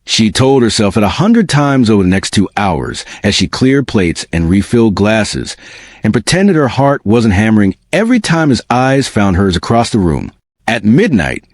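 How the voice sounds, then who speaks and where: Man, low-pitched voice, scripted dramatic narration, very varied intonation, close dry sound. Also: no room sound.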